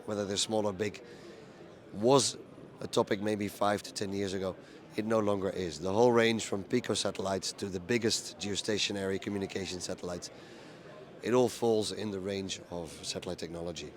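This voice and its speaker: A man with a low, hoarse voice talks through a conference recap for his video channel. He speaks plainly and steadily, with frequent ums and uhs. The rasp from a lost voice stays through every line. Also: steady tone, moderate pace. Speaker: event reporter recapping a conference. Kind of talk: casual spoken recap to camera.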